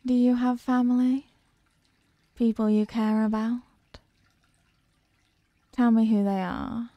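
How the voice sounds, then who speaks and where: A woman plays an ancient female eldritch being in a horror audio roleplay. She is possessive and seductively menacing, looking down on mortals as tiny things. The voice is low-lit and mellow, deliberate in every word, and very close and dry, as if nothing stands between her and the listener. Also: steady tone, no echo, highs cut off. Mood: coaxing, taunting. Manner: slow, deliberate, condescending, commanding. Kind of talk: scripted ASMR horror roleplay.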